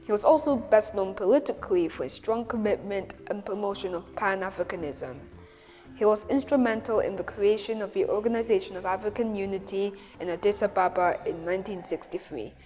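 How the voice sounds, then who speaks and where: A woman reciting a biography clue in a clear, recited way. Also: medium pitch, a little fast, a little mellow, big-room sound, soft background music. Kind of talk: quiz-style narration.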